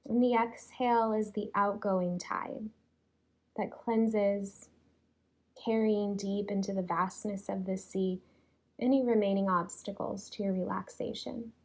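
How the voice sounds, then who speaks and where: A woman leading a guided yoga relaxation in a soothing, unhurried way, leaving long pauses between phrases. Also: medium pitch, fairly even intonation. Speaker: yoga nidra teacher.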